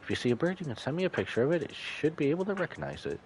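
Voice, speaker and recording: Polite, earnest young woman informant in a game, acted by a man, raised lively pitch, soft music behind. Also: racing, husky, full character voice, rough recording. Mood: earnest, explaining. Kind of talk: reading game dialogue aloud in character.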